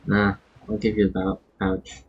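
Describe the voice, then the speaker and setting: A man's voice: high voice, big pitch swings, measured pace, creaky, slightly rough. A man with a Filipino accent giving casual, chatty and reactive commentary on a game livestream, switching between languages. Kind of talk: casual live gameplay commentary. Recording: dull lo-fi stream audio. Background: game music.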